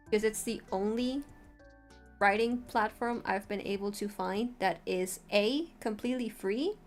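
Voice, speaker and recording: A woman streamer walking viewers through a writing tool in an informal, meandering way. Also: mid-range voice. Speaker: streamer giving a tutorial. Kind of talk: casual livestream walkthrough of a writing tool.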